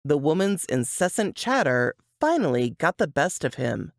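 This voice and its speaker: A woman reading a novel aloud in an expressive, measured and dramatic audiobook style. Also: low voice, gravelly, no room sound, studio quality. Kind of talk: expressive fiction audiobook narration.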